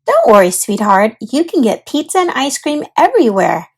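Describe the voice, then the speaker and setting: A woman voicing a patient, slightly weary mother in a storybook, speaking in a gently firm way. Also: sits high, huge pitch swings, normal speed, exaggerated voice.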